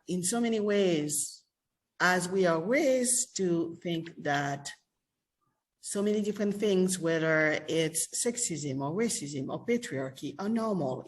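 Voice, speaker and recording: A woman from Haiti moderating a panel conversation, speaking deliberately and with emphasis. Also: on the low side, lively intonation.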